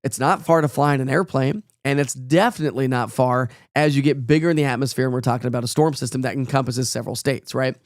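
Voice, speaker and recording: A man's voice: medium pitch, fast talker, few pauses, no room sound, studio quality. A male meteorologist, giving a chatty, rambling forecast while losing his voice to a cold. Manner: chatty, folksy, rambling with fillers. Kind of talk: casual live weather forecast.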